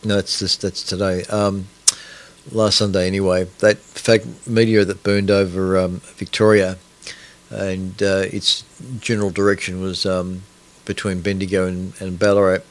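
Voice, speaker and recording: A man's voice: low voice, level tone, normal speed. An Australian man hosting a casual astronomy radio broadcast, rambling and hesitant with many fillers as he sets things up. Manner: rambling, hesitant, many fillers, talks to himself while setting up. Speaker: radio host.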